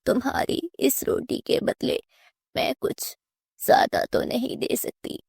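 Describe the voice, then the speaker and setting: Frail old granny beggar, very husky, slow, tender, pleading, cartoon storybook style. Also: female voice, high-pitched, level tone, a little mellow, dry recording, studio quality, exaggerated voice. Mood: tearful gratitude. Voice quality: breathy, raspy whisper.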